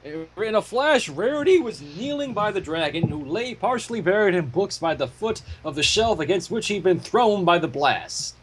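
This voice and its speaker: Animated, higher-pitched man reading a story. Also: moderate pace, few pauses. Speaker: fan fiction reader and commentator.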